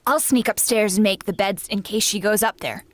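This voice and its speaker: An actress playing a loyal, confiding housemaid in vintage radio sitcom style. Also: female voice, medium pitch, moderate pace, natural voice. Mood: conspiratorial, resigned.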